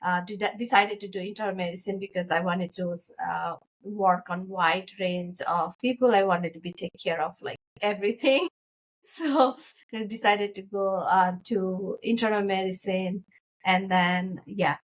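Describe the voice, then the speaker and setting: A woman's voice: mid-range voice, fairly even intonation, measured pace. A middle-aged woman from Nepal with a Nepali accent, a physician talking casually as an interview guest.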